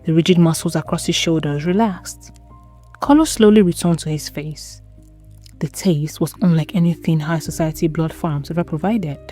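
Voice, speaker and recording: Low, mellow woman narrating dark romance. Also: normal speed, soft background music. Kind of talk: dramatic scripted story narration.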